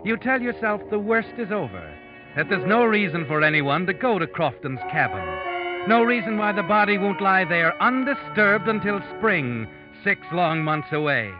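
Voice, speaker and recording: An actor playing a knowing, taunting storyteller, narrating an old radio drama ominously in the second person. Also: male voice, high-pitched, very animated, moderate pace. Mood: wry, suspenseful. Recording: dull vintage radio recording, music behind. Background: dramatic music.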